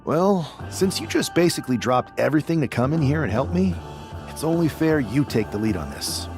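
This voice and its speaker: A man's voice: fairly high pitch, very animated, brisk, darkish tone. A man playing a determined wrestler in a video game cutscene, speaking in an emphatic, resolute way. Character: determined male wrestler leading a fightback. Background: music.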